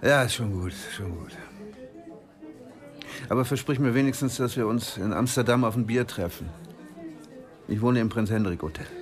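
A man's voice: medium pitch, normal speed, pauses often, natural voice. A man playing a big, good-natured, overeager professor in a radio play, persuasive and insistent. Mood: persistent, deflated. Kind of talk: German radio play dialogue. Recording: music playing behind the voice. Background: music.

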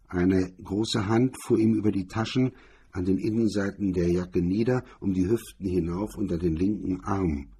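A man's voice: lowish voice, mellow, breathy edge. A man narrating a hard-boiled crime story as a scripted reading.

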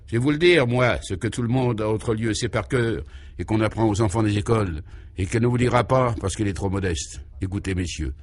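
A man playing a devoted, deeply moved male admirer in a comic radio serial, emphatic and ceremonious. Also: brisk, natural voice. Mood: moved, solemn. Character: tearful, devoted male admirer reading a solemn citation.

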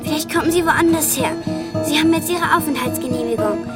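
A woman's voice: high-pitched, fairly even intonation, a little fast, loud music behind the voice, lightly acted. An actress playing an anxious, hopeful ten-year-old refugee girl who pleads insistently in a radio drama.

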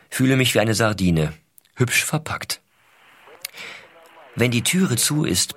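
An actor playing an American astronaut father, reading his letters in a measured, intimate, explanatory way. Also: deep voice. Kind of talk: scripted first-person letter narration.

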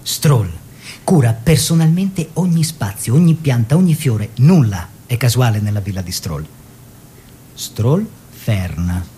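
Male actor as artist and mentor-lover: earnest, declamatory, measured, wide swoops from low to high, theatrical radio drama. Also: expressive, warm tone, slight background noise, natural voice. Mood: descriptive, lyrical. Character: earnest male painter, lover and teacher of his model.

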